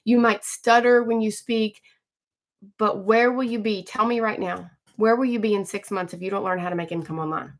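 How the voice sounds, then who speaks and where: A woman in her forties hosting a casual live stream from home, chatting with viewers, greeting them by name and often clearing her throat. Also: a little high, a bit bright. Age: 40s. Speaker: livestream host.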